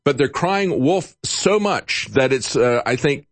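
A man hosting a talk radio show, reading product offers briskly and then giving earnest opinions to a guest. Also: on the high side, expressive, no room sound.

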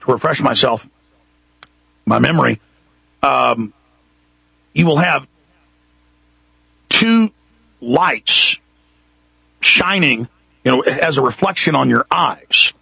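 A man's voice: mid-range voice, expressive, takes it slowly, gravelly, long pauses, pushed and bright, husky, no room sound. A man with a Texas accent hosting a radio talk show, ranting emphatically and piling up repeated points. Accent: Texan. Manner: emphatic, repetitive, building intensity. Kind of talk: impassioned talk radio monologue. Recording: muffled radio broadcast.

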